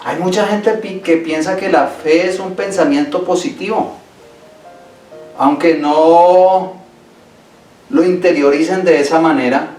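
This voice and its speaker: Male pastor, sermon, emphatic, repeats phrases, echoey hall, far from mic, soft guitar behind. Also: a little high, measured pace, long pauses. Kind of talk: Sunday sermon. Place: church sanctuary.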